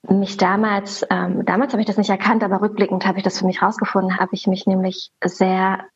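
Thoughtful woman in her thirties, flat delivery. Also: medium pitch, a little fast, muffled recording. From Berlin, Germany. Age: 30s. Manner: thoughtful, frequent fillers, explains in lists. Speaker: interview guest, yoga and meditation teacher. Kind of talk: reflective personal conversation. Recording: echoey room with loud background noise.

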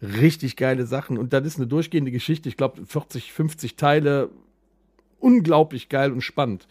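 A man's voice: medium pitch, moderate pace, a little mellow, husky, dry recording. A man chatting casually and enthusiastically as a podcast host, in colloquial language. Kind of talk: casual chat.

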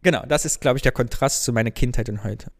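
A man from northern Germany, co-hosting a podcast, chatting in a lively storytelling way. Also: medium pitch, quick pace. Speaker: podcast co-host. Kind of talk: casual chat.

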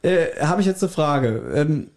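A man's voice: a little high, animated, measured pace, a little mellow. A man hosting a podcast in a casual, chatty, bantering style. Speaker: podcast host. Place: guest's apartment, indoors.

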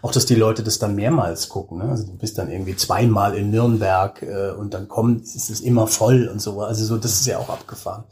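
A man's voice: on the low side, steady tone, a little fast, hardly any pauses, a little mellow. Casual, self-deprecating man in conversation. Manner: colloquial, self-deprecating, acts out quoted remarks. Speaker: interview guest, audio drama director. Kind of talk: casual interview talk, anecdotal.

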